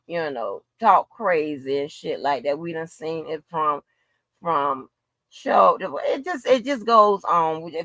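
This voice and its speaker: A woman's voice: low-pitched, fairly bright tone, dry recording. A woman in an unscripted livestream rant, blunt, profane and confrontational, repeating phrases for emphasis. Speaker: livestream host.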